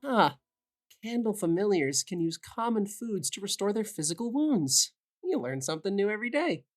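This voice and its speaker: A woman voicing a gentle, curious young male witch with a soft, casual way of talking in an audio drama. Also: medium pitch, sing-song, normal speed. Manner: soft-spoken, kindly, casual. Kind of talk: audio drama character acting.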